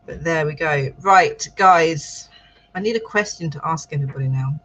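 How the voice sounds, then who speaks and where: A woman hosting a writing livestream, chatting in a lively, self-deprecating way with her co-host. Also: low-pitched, moderate pace, poor audio. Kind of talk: casual chat on a writing livestream.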